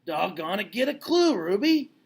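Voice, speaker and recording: A man's voice: high voice, big pitch swings, moderate pace, slightly rough, voice pushed up, heavily acted. A man voicing a brash, greedy, bad-tempered circus owner in a storybook, with a folksy, blustering manner. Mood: irritated, shouting.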